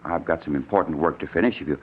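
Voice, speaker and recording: A man's voice: a bass voice, racing, slight background noise. A man playing a nervous, evasive middle-aged stockbroker who stammers, in 1940s radio drama style. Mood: nervous, falsely friendly. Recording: muffled, narrow-band 1940s radio recording.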